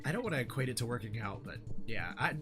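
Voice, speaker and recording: A hoarse young man streaming a game, chatting casually with viewers in a rambling way with lots of fillers. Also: mid-range voice, very animated, quick pace. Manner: rambling, frequent fillers, reads chat aloud. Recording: game music behind the voice.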